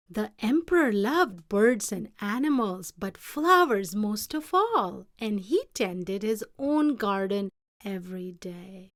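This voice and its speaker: A woman reading a picture book aloud in an expressive, deliberate storytelling manner. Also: medium pitch, no room sound, clean studio sound.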